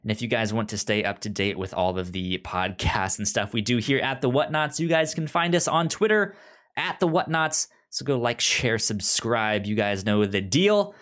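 A man's voice: lowish voice, normal speed, a bit bright. A man co-hosting a podcast in casual chat, informal and speaking straight to the listeners. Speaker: podcast co-host.

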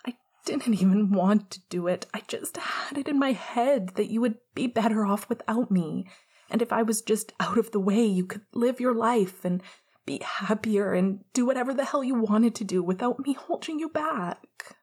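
A remorseful young man, tearful and pleading, breathes out his words in a soft, breathy whisper, as a woman reads him aloud. He keeps his voice low and gentle. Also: moderate pace.

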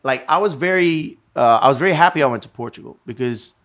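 A man in casual chat, telling travel stories in a lively, self-deprecating way with frequent swearing. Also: medium pitch, fast. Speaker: traveler in conversation.

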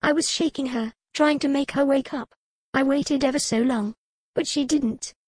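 A woman's voice: sits high, steady tone, moderate pace, no echo, studio quality, voice pushed up, close to an everyday voice. A woman voicing an earnest, anxious little girl of about seven in an audiobook. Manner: pleading, worried.

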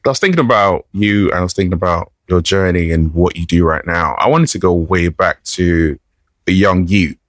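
A man's voice: deep voice, moderate pace, no room sound, clean studio sound. A man hosting a podcast, asking a guest curious questions about her path. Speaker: podcast host. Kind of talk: casual interview questions.

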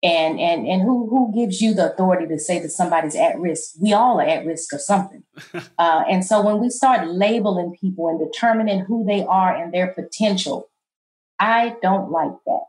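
A middle-aged woman with a soft, low voice, guesting on an interview and talking in a calm, measured way that turns emphatic at times. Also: reverberant, rough recording. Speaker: college president, guest. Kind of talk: interview conversation.

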